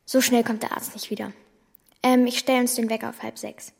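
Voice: medium pitch, level tone, a little fast, vocal fry, over loud music, lightly acted. A young performer playing a frank, resolute girl with a blunt, reassuring manner in a German children's radio drama. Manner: blunt, matter-of-fact, reassuring. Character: frank, resolute girl, the boy's protective companion.

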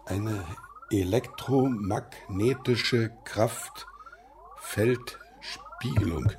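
An actor playing a grumpy, hesitant older gentleman with a husky voice in a children's radio play. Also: fairly low pitch, very slow, slight background noise. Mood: troubled.

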